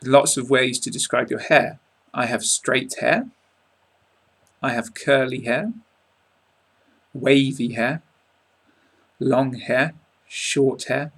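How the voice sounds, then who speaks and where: English man teaching, gravelly bright voice. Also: medium pitch, steady tone. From England. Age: 20s. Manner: clear, measured teaching delivery with casual asides. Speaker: accent teacher. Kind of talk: online lesson to camera. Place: small room indoors. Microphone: webcam at close range.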